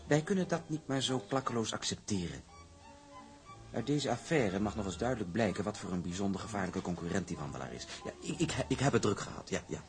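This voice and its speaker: An actor playing a cold, condescending, ruthless young shipping heir in a radio drama. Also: male voice, lowish voice, lively intonation, brisk, lightly acted. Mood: condescending, hard. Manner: condescending, evasive. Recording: music behind the dialogue.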